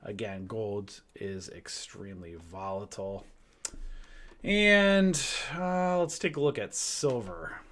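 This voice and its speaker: A man's voice: lowish voice, animated, slow pace, fairly bright tone. Tired man talking through stock charts. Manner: loose, rambling, many fillers and restarts, addresses viewers as guys. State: tired and losing his voice, clearing his throat and coughing. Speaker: stock trading educator. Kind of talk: casual live chart walkthrough.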